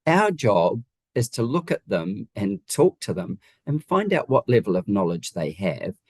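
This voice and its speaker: A man's voice: lowish voice, sing-song, unhurried, a little mellow, slightly rough, no echo, studio quality. A man with a New Zealand accent giving conversational, emphatic interview answers, often making his points with rhetorical questions. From Wellington region, New Zealand. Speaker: guest, home designer.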